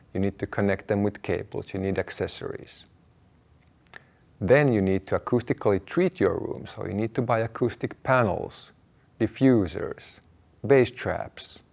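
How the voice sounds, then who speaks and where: A man hosting an explanatory video, talking to viewers in a measured, deliberate way, laying out his points in short phrases. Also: on the low side, vocal fry, a little mellow. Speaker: host of an audio hobby video channel.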